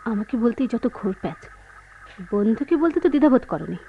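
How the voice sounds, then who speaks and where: A woman's voice: a little high, big pitch swings, brisk. A woman playing a hurt, suspicious, proud wife in an emotional radio drama. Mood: probing, hurt. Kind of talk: Bengali radio play acting. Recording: dull, noisy old radio drama recording.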